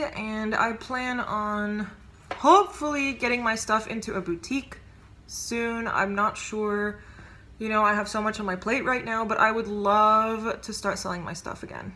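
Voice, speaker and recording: Bright-voiced chatty woman in her twenties. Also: medium pitch, a little slow, soft background music. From United States. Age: 20s. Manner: chatty, upbeat, lots of fillers and asides. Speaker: vlogger. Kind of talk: casual talking-to-camera vlog.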